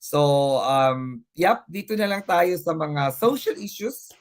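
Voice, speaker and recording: A man's voice: fairly high pitch, expressive, unhurried. A young man with a Filipino accent hosting a live online pageant interview, rambling and hesitant, switching between English and Tagalog. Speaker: host.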